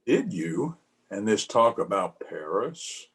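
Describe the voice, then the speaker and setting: A man acts out a kindly middle-aged father in an old-time radio play. He sounds bewildered and hesitant, taking his time, with a lively rise and fall in pitch. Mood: gentle, puzzled. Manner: hesitant, halting.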